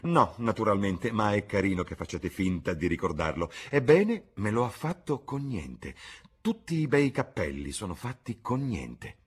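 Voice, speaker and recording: A man's voice: fairly low pitch, sing-song, moderate pace, husky. A man voicing a flirtatious, witty aristocratic duchess in arch, teasing society talk for an audiobook. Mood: playful complaint.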